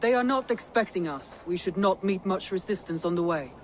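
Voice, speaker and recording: Casting: earnest, careful war counsellor, high register, game drama, faint noise behind. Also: male voice, lively intonation, fairly quick. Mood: measured, earnest. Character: cautious, earnest warrior counsellor in a war band. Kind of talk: dramatic video game cutscene acting.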